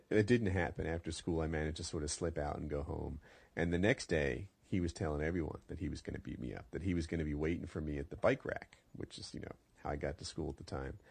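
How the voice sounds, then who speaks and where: A man's voice: rumbling low, darkish tone, no echo. A man hosting a podcast, chatting casually and telling rambling, conversational stories. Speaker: podcast host. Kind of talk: casual chat and storytelling.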